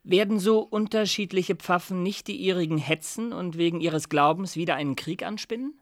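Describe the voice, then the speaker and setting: An actor playing a teasing rogue who humours a mad god with mock reverence in a literary radio drama. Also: male voice, high-pitched, moderate pace, dry recording, clean studio sound. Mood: flattering, coaxing. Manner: wry, teasing, mock-deferential. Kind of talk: theatrical literary radio drama in archaic German.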